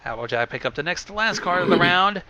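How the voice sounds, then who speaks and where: A man reads fan fiction with crude, joking asides. His voice is very bright and forceful, a little high, and swings widely in pitch as he slips between narration and casual chat. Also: lively intonation, fast, a little hiss or hum. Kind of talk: animated story reading with casual asides.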